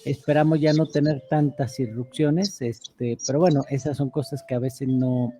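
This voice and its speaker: A man co-hosting a radio show, relaxed and chatty with frequent fillers. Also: medium pitch, moderate pace. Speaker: radio co-host.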